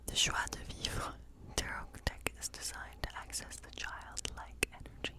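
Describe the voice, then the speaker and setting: A woman performing a whispered ASMR role play as a whimsical witch reading tarot, musing softly in a breathy, unhurried whisper.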